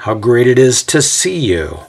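A man's voice: fairly high pitch, sing-song, moderate pace, voice pushed up. A man voicing a snide, smirking tease in an audio storybook, with a mocking manner. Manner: mocking, teasing.

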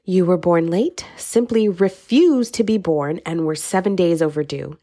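A woman's voice: lowish voice, measured pace, dark tone, no echo, pitched above the performer's own voice. A woman reading an audiobook, voicing a wealthy, middle-aged mother who speaks in a cool, steely, dry way. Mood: stern.

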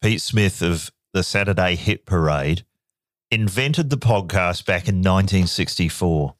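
A man in his fifties, co-hosting a podcast in casual chat, wry and quick to laugh. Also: deep voice, no echo, clean studio sound. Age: 50s. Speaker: podcast co-host.

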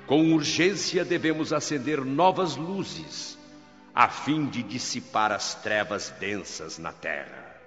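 A man playing the solemn governor of a spirit colony, speaking in a grave, measured, emphatic way in a radio drama, over soft music. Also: lowish voice, full character voice. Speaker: governor preaching to workers. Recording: dull radio-drama recording with long reverb.

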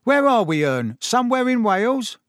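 Brash teenage conductor, high-pitched, slangy, cheeky, nosy, storybook character. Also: male voice, very animated, normal speed, no echo, studio quality. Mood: breezy. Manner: cheeky, slangy, dropped sounds and double negatives. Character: brash, nosy young bus conductor, eighteen or nineteen.

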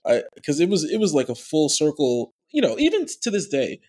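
A man, an actor, talking in a casual interview, animated and full of restarts, with a somewhat nasal voice. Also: on the high side, fairly quick, breathy edge. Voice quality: nasal natural voice. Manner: animated storyteller, many fillers and restarts. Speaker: actor and voice actor, podcast guest.

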